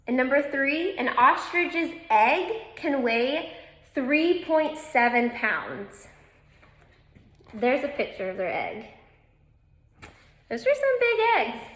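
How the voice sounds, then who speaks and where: Woman, elementary teacher, for a children's read-aloud; unhurried, instructive delivery speaking straight to kids, in an echoey room. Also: sits high, a bit bright. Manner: instructive, addresses young listeners directly. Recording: roomy, echoing indoor sound.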